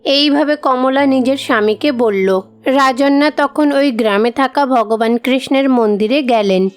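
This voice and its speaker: A woman's voice: high voice, a little slow, smooth. A woman narrator reading a scripted moral tale for a cartoon. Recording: music behind the voice.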